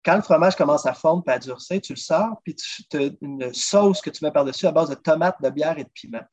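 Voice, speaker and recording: Man, Québécois accent, husky voice, lively talker, interview guest, muffled lo-fi sound. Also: a little high, a little fast. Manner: chatty, lively, keeps saying "listen" as he explains recipes. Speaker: guest, drummer and food traveler. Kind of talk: casual interview chat. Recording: dull lo-fi recording.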